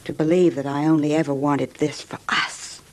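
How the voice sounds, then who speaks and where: An actress playing a scheming, unfaithful wife, tender and intimate, in old-time radio melodrama style. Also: female voice, sits low, level tone, normal speed, natural voice. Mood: tender, pleading. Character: scheming adulterous wife, tender, yearning and coolly calculating.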